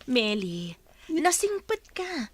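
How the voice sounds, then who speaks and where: A woman's voice: high voice, very animated, slow, breathy edge, no echo, exaggerated voice. An actress playing a concerned woman imploring her friend in a Filipino radio soap, with a Filipino accent. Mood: pleading, consoling. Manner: emotional, imploring. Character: concerned, pleading female friend.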